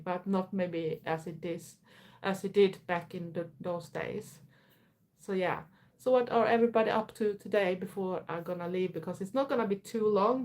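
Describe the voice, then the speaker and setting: A woman's voice: mid-range voice, darkish tone. A middle-aged woman from Sweden with a Swedish accent, chatting casually on a live stream in her bedroom, answering viewer comments with plenty of fillers. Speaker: YouTuber hosting a live stream. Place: bedroom at home. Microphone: phone camera.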